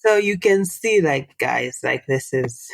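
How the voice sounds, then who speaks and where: A low-pitched woman, a Swahili speaker in her thirties, talking in a relaxed interview. She explains things in an animated way and puts questions to her listener. The sound is close and dry, with no room around it. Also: slow, creaky, no room sound. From Tanzania. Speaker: guest, social entrepreneur. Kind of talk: casual interview conversation.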